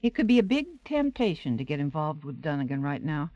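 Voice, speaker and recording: A woman's voice: deep voice, big pitch swings, a little fast, no room sound, highs cut off. An American actress playing a worldly, wisecracking stage woman in a radio drama, tart and blunt. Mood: cautioning. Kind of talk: 1980s radio drama acting.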